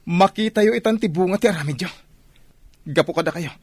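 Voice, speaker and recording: A man's voice: high voice, quick pace, a little mellow, breathy edge, dry recording, clean studio sound. A man playing an upset young son who is bitter toward his parents, in an Ilocano radio drama.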